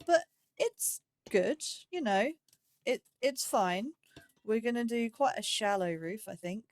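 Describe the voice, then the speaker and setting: A woman's voice: medium pitch, no room sound. Chatty woman doing casual gaming commentary. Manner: chatty, self-deprecating, musing aloud. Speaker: gaming YouTuber, host of a let's play series. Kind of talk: casual let's play commentary.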